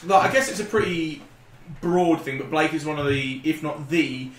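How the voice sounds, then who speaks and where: A man in casual group banter, telling stories in an animated, rambling way and swearing casually. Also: a little high, normal speed. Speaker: podcast co-host. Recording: roomy, noisy room recording.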